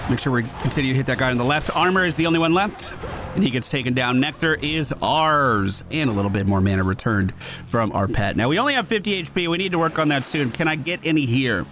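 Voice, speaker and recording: A man giving casual gameplay commentary in an excitable way, given to swearing when thrilled. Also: mid-range voice, quick pace. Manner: excitable, profane exclamations. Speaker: gaming video host. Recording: game music behind voice. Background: video game music.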